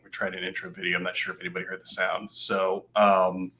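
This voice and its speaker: A man's voice: sits low, monotone, fairly quick, bright, forceful tone, a little hiss or hum. An American man moderating an interview in a casual, chatty style. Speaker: host, moderator. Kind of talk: casual online interview hosting.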